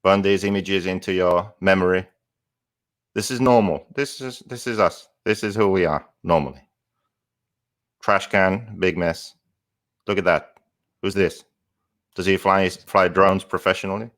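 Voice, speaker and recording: A man co-hosting a podcast, bantering in a teasing, halting way. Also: low-pitched, a bit bright. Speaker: podcast co-host. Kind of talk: casual banter.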